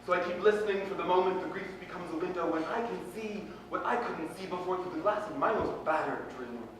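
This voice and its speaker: High-voiced man reciting poetry in echoey hall. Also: brisk. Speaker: spoken-word poetry performer. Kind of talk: slam poetry recitation, dramatic and earnest. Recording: very echoey room, distant mic, faint noise.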